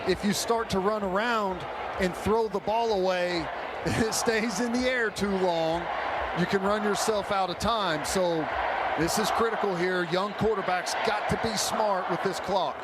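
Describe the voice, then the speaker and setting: A man's voice: high-pitched, unhurried. An American man giving live football analysis on the radio as a color commentator, explaining things conversationally from a stadium booth. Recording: loud stadium crowd and noise behind booth commentary.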